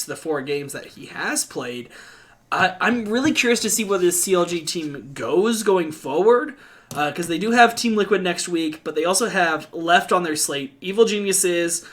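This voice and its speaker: A man hosting a casual esports podcast, talking quickly with restarts and the odd swear word. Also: high-pitched, fairly bright tone. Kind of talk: casual esports analysis chat.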